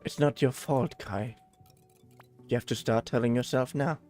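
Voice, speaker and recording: A man voicing a gloomy, gentle game character in a soft, weary, tender way. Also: mid-range voice, fairly even intonation, moderate pace, soft background music, lightly acted. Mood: gentle, resigned.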